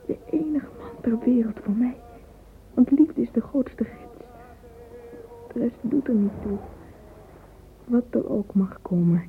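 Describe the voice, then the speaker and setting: A woman's voice: a little high, lively intonation, slow pace, pauses often, slight background noise. An actress playing a dreamy, romantic young woman in a radio play monologue, whispering intimately and fervently through a narrow, phone-like recording. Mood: fervent, yearning. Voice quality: breathy, whispery. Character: dreamy romantic young woman lost in yearning love fantasies.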